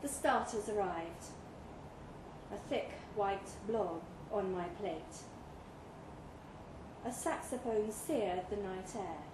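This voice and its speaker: A woman's voice: medium pitch, slow pace, pauses often. A woman reading a literary short story expressively at a live event in a pub room. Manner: acts out dialogue in character. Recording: echoey room with clear background noise.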